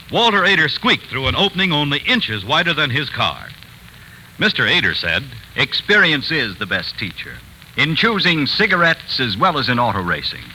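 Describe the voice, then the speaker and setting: A man announcing a 1940s radio advertisement as an emphatic sales pitch. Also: pushed and bright, low-quality recording. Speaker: commercial announcer. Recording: hissy 1940s radio broadcast.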